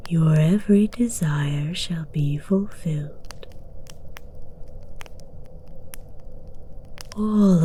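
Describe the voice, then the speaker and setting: A woman voicing a possessive, seductive dragon queen in an ASMR roleplay, soft and breathy, often whispering, with regal command. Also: low voice, a little hiss or hum. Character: possessive, seductive female dragon queen hoarding human pets.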